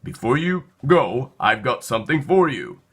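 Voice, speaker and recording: A man's voice: medium pitch, big pitch swings, moderate pace, fairly bright tone, husky, heavily acted. A man voicing a friendly, welcoming airline pilot in a children's storybook, with a courteous manner.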